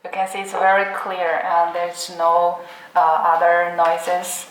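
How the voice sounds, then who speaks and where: A young woman giving an unscripted product demonstration in short, simple, halting phrases. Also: lowish voice, monotone. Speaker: product demonstrator for an electronics brand. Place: indoor room at a table with green backdrop. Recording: roomy echo, clearly noisy background.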